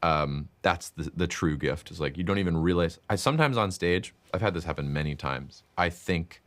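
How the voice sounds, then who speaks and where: A young man with a very deep voice talks loudly in a relaxed interview. He talks in quick, animated bursts and leans hard on filler words. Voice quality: loud voice. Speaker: guest musician and composer. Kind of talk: casual interview chat.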